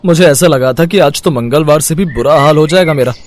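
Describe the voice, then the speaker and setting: An actor playing a weary, henpecked village food vendor in a story cartoon, expressive and given to grumbling and pleading. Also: male voice, fairly high pitch, fairly quick, slight background noise, close to an everyday voice. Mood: tired, relieved. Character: weary, henpecked village chicken-lollipop street vendor husband. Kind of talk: animated moral-story voice acting.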